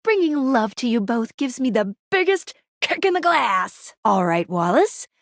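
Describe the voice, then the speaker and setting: A woman's voice: fairly high pitch, sing-song, measured pace, vocal fry, no room sound, clean studio sound, exaggerated voice. A woman playing a perky, upbeat young female guide in a dating video game, with a playful, quirky and earnest manner. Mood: excited, bubbly. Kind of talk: video game character voice acting.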